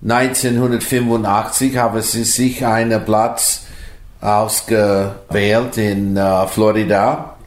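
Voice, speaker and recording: A man from the USA, speaking haltingly and searching for words as an interview guest in his living room. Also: on the low side, little pitch movement. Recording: roomy living room sound.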